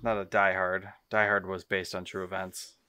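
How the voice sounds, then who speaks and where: A man with a fairly low but bright voice, recorded dry. He talks slowly in a casual chat as one of a podcast's co-hosts. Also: little pitch movement, clear, resonant tone, no echo. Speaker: podcast co-host. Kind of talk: casual chat, guessing game among friends.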